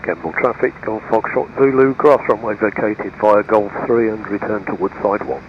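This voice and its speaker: A man's voice: fairly low pitch, moderate pace. A man pilot making clipped, formulaic traffic calls over an aircraft radio. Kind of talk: clipped aviation radio call. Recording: dull, noisy aircraft radio transmission.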